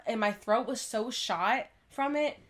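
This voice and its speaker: A woman's voice: fairly high pitch, unhurried. A young woman, co-hosting a podcast in a casual chat, congested, laughing often and talking with lots of fillers. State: congested, dry throat. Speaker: podcast co-host.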